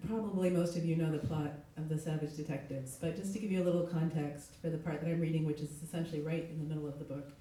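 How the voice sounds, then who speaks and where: A middle-aged woman, a literary translator, reading aloud at a live event, her voice hoarse with a cold. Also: low voice, steady tone, fast, hardly any pauses, dark tone. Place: echoey indoor event space. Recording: very echoey room, distant mic.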